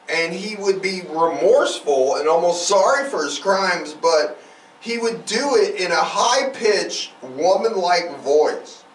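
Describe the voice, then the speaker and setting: Casting: male podcast co-host, very lively pitch swings, conversational and rambling, recorded a bit far from the mic in an echoing room. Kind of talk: casual conversational true-crime chat. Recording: roomy, distant mic, faint noise.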